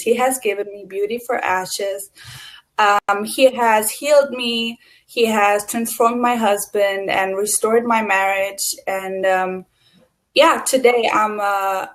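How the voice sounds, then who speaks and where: German lady guest on a video call, talks slow, lots of uhs and ums. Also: on the high side, rough recording. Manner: soft and hesitant, many fillers. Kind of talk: personal introduction on a video chat.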